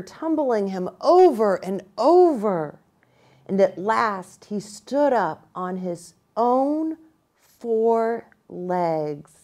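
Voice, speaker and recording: A middle-aged American woman narrating a children's storybook in an expressive, deliberate way. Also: on the low side. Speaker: pastor reading to children. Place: small furnished room, indoors.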